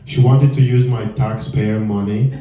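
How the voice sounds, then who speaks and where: A young man from northern Romania with a Romanian accent, performing a halting, filler-heavy deadpan stand-up set in a small comedy club. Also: fairly low pitch, recorded from a distance. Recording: echoey room, heard from afar, dull sound. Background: audience laughter.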